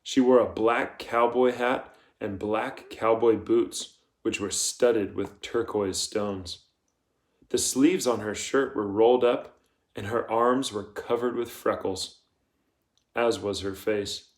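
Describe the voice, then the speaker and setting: A man's voice: fairly low pitch, fairly even intonation, slow pace, roomy sound. A man reading a children's novel aloud as scripted audiobook narration. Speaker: audiobook reader.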